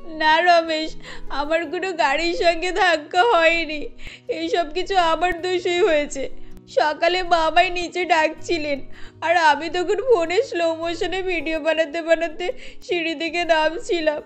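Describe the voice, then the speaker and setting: Young cartoon wife voiced by a woman, very high-pitched, girlish, eager, openly emotional. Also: fairly even intonation, a little slow, soft background music. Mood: tearful. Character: bubbly, phone-loving young wife.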